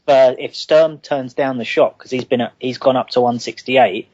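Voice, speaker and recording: A man's voice: mid-range voice, steady tone, normal speed, darkish tone, no room sound, lo-fi sound. A man with a London accent, calling in to give long opinions on boxing. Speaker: caller. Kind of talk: casual boxing chat.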